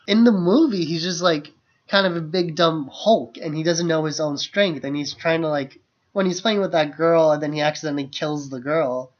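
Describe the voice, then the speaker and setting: A man's voice: fairly high pitch, muffled recording. A man co-hosting a podcast, chatting casually about films in loose phrasing peppered with "like". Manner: loose, filler-heavy phrasing with frequent "like". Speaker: podcast co-host. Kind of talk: casual film discussion.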